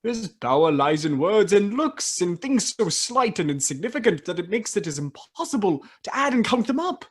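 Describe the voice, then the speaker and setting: A woman playing an earnest, wistful man with a husky voice in an amateur radio play. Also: medium pitch, sing-song, moderate pace, few pauses.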